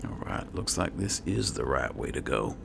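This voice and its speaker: A man's voice: extremely low pitch, big pitch swings, normal speed, creaky, background noise. A man giving casual gameplay commentary, musing to himself and his in-game companions as he roleplays. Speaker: gaming video host.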